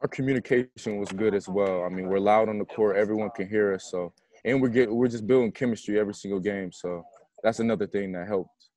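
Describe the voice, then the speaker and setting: A man's voice: on the low side, steady tone, brisk, a little mellow. A young man, a college basketball player, giving informal, plain-spoken answers at a post-game press conference. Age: young adult. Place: indoor basketball arena, courtside. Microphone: earphone headset on a video call.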